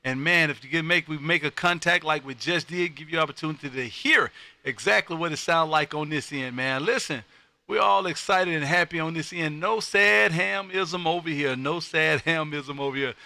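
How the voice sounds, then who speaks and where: An American man works the ham radio as a live stream host, with a very sharp, punchy voice. He is loud and folksy, repeating his phrases. The sound is close and dry. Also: fairly high pitch, moderate pace, vocal fry, no echo.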